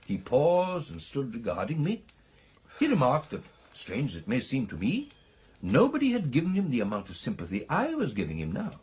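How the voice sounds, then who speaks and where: An actor playing an excitable British gentleman recounting a ghostly encounter in a radio drama, with theatrical, animated delivery. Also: medium pitch, moderate pace, breathy edge, exaggerated voice. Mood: animated, musing. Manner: theatrical, animated storytelling with exclamations and hesitations.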